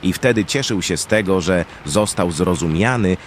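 A man with a low voice narrates a dark fantasy tale. His delivery is scripted and dramatic, like a storyteller in an audio drama. Outdoor ambience is clearly audible behind his voice. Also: moderate pace. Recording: clear background ambience noise.

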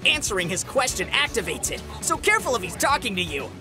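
Casting: excitable male cartoon superhero DJ, high and piercing, theatrical, wildly animated delivery. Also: fast, over music. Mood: loud disbelief. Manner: theatrical, exclamatory, whiny when upset. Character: excitable loud male superhero radio DJ, melodramatic.

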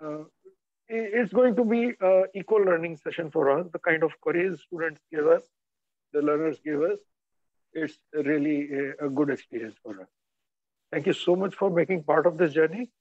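A man with a bad cough and cold, giving a halting webinar introduction with many filler pauses. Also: a little high, no room sound. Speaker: cyber forensics instructor. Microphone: video call. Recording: dull lo-fi video call audio.

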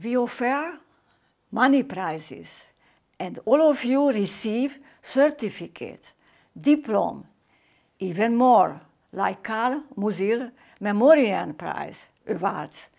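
Slow older woman with a Hungarian accent. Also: mid-range voice, lively intonation, creaky, darkish tone. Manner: slow, deliberate, halting English. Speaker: competition artistic director. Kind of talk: formal video welcome address. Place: indoor room with painting behind.

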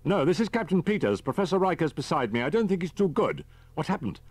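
A man voicing a brisk, gruff male army captain in an audiobook, clipped and matter-of-fact. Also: a little high, big pitch swings, a little mellow, no room sound, voice pushed up. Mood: urgent.

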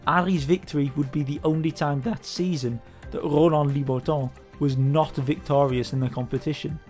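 Guy doing a cycling history voiceover, slightly high voice, calm steady read, light music behind. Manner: steady, measured storytelling delivery. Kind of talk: scripted documentary narration. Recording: voice-over with faint background music.

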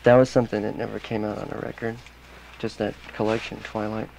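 Gravelly, monotone male radio DJ. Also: on the low side, quick pace. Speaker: radio DJ and interviewer. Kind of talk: casual radio interview. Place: radio studio. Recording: noisy old radio aircheck.

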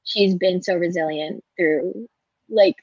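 A woman's voice: on the low side, slow pace, a little mellow, slightly rough, dry recording. A young woman on a video call from her home kitchen, chatting casually and filling her talk with "like.". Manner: chatty, filler-heavy, with frequent "like". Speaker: voice actor panelist. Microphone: laptop webcam on a video call. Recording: dry, dull lo-fi call audio.

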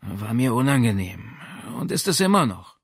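A man's voice: mid-range voice, sing-song, moderate pace, slightly rough, no room sound, clean studio sound. A man voicing a hearty but sheepish male shopkeeper with an emphatic manner in an audiobook. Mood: embarrassed. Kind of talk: audiobook character dialogue.

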